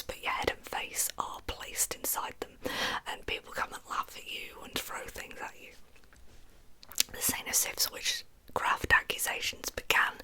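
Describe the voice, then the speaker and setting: A British woman whispers close to silence, her voice all breath and no tone. The sound is very bright and airy, with crisp sibilant edges. She hosts a true crime ASMR series and talks informally, as if chatting through her story. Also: rough recording.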